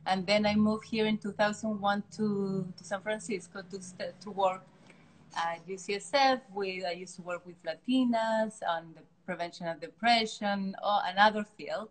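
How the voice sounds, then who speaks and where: A middle-aged woman with a Chilean Spanish accent talks about her life as a guest. She is a native Spanish speaker, and her voice sits at a middling pitch. She speaks warmly but haltingly, often restarting her sentences. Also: fairly even intonation, slight background noise, rough recording. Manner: warm, halting, frequent restarts. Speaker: artist guest. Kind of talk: casual interview. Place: art studio.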